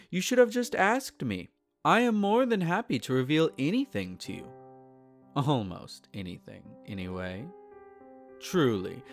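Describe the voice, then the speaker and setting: A man playing a possessive, teasing vampire in an intimate roleplay audio, speaking in formal, silky tones and courtly endearments. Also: a little high. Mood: possessive, wounded. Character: possessive, teasing, courtly male vampire, centenarian yet youthful. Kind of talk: intimate roleplay audio, scripted character acting.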